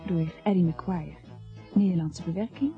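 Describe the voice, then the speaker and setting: A woman announces radio credits at a slow pace in a slightly low voice. Music plays clearly behind her on a dull, old-sounding broadcast recording.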